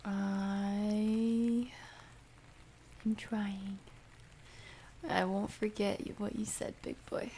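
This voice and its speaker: A soft-spoken woman hosting an ASMR livestream, chatting casually and gently, often dropping toward a whisper. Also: mid-range voice, slight background noise. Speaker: livestream host. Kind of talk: ASMR livestream, casual chat reading and answering viewer comments.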